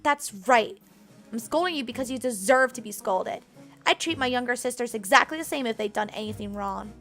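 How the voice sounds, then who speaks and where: A woman's voice: on the high side, brisk, a bit bright, faint music behind. A woman voicing an indignant, protective maid who lectures in a firm, reproachful, blunt way.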